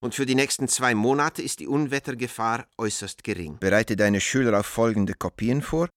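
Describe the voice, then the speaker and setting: An actor in a radio drama playing a composed businessman who dictates letters in a measured, deliberate and formally cordial way. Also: mid-range voice, no echo, studio quality, close to an everyday voice. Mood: cool, formal.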